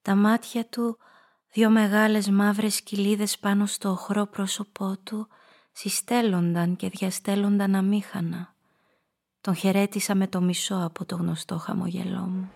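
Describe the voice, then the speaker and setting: An actress playing a woman who narrates her own story in measured, atmospheric literary prose for a radio drama. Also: mid-range voice, little pitch movement, vocal fry, a little mellow. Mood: wry, observant. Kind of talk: scripted first-person literary narration.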